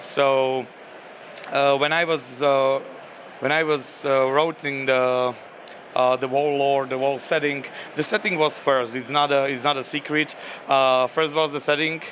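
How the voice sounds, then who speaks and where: A game designer with a Slovak accent talks in a flat, even tone. He hesitates and restarts often. Faint traffic noise sits behind him outdoors. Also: medium pitch. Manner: halting, many fillers and restarts. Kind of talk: convention interview.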